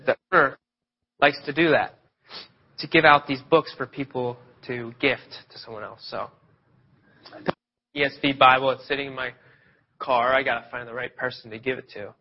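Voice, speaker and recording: A man's voice: mid-range voice, fairly even intonation, normal speed, long pauses. A man with a cold, sniffling a little, giving an informal, conversational sermon and wandering into loose stories with restarts. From Pennsylvania, near Philadelphia. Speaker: lay preacher. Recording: dull lo-fi sound.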